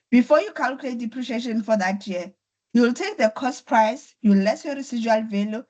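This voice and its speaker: A woman, her voice roughened by flu, leads an online accounting class. She works through each point patiently, step by step, and repeats key figures. Her pitch sits at an ordinary middle height, recorded through a computer mic on a video call. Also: rough recording. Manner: patient, step-by-step explaining with repetition. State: voice affected by flu. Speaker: accounting tutor. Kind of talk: online lecture.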